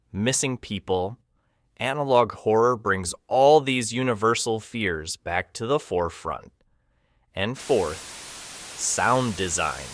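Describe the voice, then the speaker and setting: Slow, low-voiced man narrating ominously. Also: a bit bright, no room sound, studio quality. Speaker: documentary narrator. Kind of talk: scripted documentary narration.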